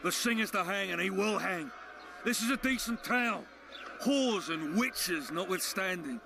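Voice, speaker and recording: A coarse, quick-tempered town guard from a fantasy game. The voice is high and gravelly, barking and threatening, with noise behind it. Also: male voice, expressive, heavily acted. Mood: enraged. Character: coarse bullying male town guard, easily enraged.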